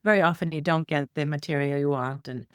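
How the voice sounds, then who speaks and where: A woman's voice: deep voice, fast talker, clear, resonant tone, dry recording, studio quality. A middle-aged woman from Iceland, a guest telling her life story in a rambling way with many fillers and restarts. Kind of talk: conversational interview storytelling.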